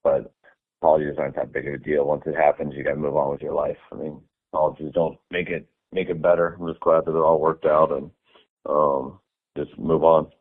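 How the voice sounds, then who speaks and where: A man, a head coach, answering reporters' questions on a conference call in a relaxed way, with many fillers. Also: extremely low pitch, fairly quick, husky. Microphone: phone. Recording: phone-line audio.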